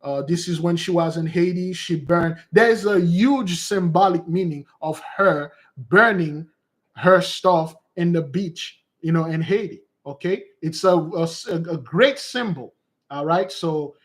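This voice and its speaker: A man's voice: fairly high pitch, unhurried. A man teaching in a loose, rambling monologue who repeats his points and calls his listeners beloved. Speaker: preacher and teacher.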